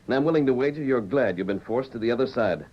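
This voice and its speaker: An actor playing a calm, fearless masked hero in a 1940s radio western, giving terse, measured orders. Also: male voice, mid-range voice, lightly acted. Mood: forceful. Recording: muffled vintage 1940s radio recording.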